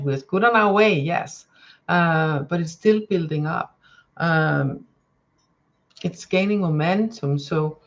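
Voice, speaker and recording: A woman with a Danish accent chats through a live tarot reading, searching for words and circling back with many fillers. Her talk turns gentle and soothing when she gives spiritual guidance. The sound is somewhat dull and lo-fi. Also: lowish voice. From Denmark. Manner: rambling, hesitant, many fillers and restarts. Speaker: tarot reader. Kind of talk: live-stream card reading chat.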